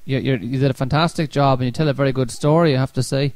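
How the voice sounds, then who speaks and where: A man's voice: mid-range voice, fairly even intonation, fast, darkish tone, no echo. A man hosting an interview, encouraging his guest and asking questions. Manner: encouraging, frequent murmured agreement. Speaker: podcast host. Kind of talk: interview, mostly listening.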